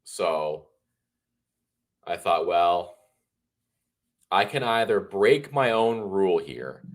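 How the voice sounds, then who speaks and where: Congested man with a low voice. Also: animated, slow pace. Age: 30s. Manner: chatty, rambling, opinionated. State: congested and a little tired. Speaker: political livestream host. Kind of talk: casual solo livestream monologue.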